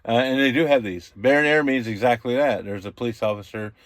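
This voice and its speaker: A man's voice: mid-range voice, quick pace, no echo. A man with a folksy, colloquial way of talking, casually explaining things like an experienced radio hand. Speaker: experienced CB radio user explaining trucker slang. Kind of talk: casual chat. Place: inside a truck cab, passenger seat.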